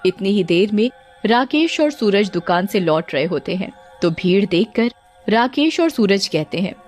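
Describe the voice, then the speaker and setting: A woman's voice: medium pitch, expressive, moderate pace, darkish tone, soft background music. A woman narrator reading a scripted story.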